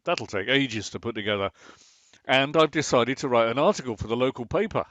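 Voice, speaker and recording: An actor playing a defensive, pedantic middle-aged husband in an amateur radio play, who stammers when caught out. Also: male voice, medium pitch, animated, brisk, a bit bright, no room sound, close to an everyday voice. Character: Martin, a bored middle-aged husband obsessed with online tank games. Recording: slightly muffled, lo-fi.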